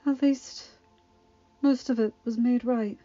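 A woman's voice: on the high side, steady tone, takes it slowly, warm tone, lo-fi sound, voice pushed up. A woman voicing a dying young enchantress, proud and dry-witted, speaking breathless and strained. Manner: breathless, strained, dryly sardonic.

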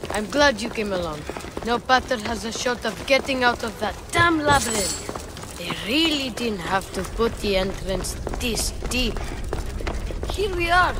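A woman voicing a curious, cheeky young boy in a video game, excitable and boastful. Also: on the high side, a little slow. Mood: excited.

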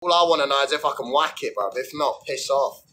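A man's voice: medium pitch, sharp tone. A brash young man, hosting a livestream from his phone indoors and bantering crudely with viewers. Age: young adult. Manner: brash, swearing, fast slang banter. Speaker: livestream host. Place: indoor room. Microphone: phone at arm's length.

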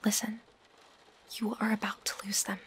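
A woman playing an earnest, concerned young healer in an audio roleplay, speaking emphatically with a breathy voice. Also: medium pitch, flat delivery, normal speed, a bit bright, slight background noise, lightly acted. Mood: earnest, insistent. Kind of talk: ASMR audio roleplay acting.

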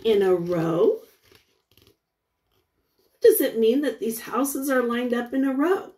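A woman teacher reads a picture book to young children in a high voice that rises and falls widely. She speaks in short, deliberate phrases and stops to ask the listeners questions. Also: sing-song, mellow. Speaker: teacher reading aloud to children.

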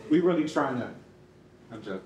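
A man's voice: mid-range voice, lively intonation, fairly quick, highs cut off, crowd noise. A young adult man giving a loose live presentation in a casual, off-the-cuff manner. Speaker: presenter and artist. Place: large concrete rehearsal hall. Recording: echoey hall, distant, faint background noise.

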